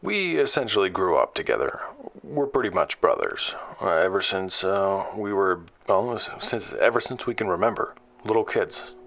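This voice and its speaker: A man playing a reflective adventurer who narrates his trip in an audio drama, halting and confiding as he goes. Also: low-pitched, sing-song, vocal fry, fairly bright tone, full character voice, poor audio. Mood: hesitant, reflective. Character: reflective male adventurer recounting a trip, awkwardly candid.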